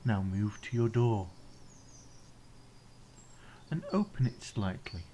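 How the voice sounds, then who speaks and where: Slow, very mellow English man. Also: lowish voice, animated, dull audio, a little hiss or hum. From England. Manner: gentle, soothing, instructional, with pauses. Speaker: meditation guide. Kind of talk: guided meditation.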